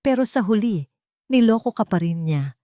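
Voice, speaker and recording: A woman voicing a bitter, haunting inner voice that accuses loudly, in an audiobook drama. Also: medium pitch, expressive, moderate pace, no echo, studio quality, natural voice. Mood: accusing. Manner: loud, accusatory.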